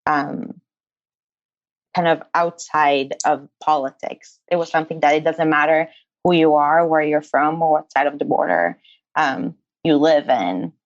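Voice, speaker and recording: Guest voice: young Israeli-raised woman, earnest and chatty, low with vocal fry, dry dull lo-fi sound. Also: unhurried, no echo. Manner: earnest, many fillers, frequent laughter. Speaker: guest, incoming medical student. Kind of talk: casual interview answers.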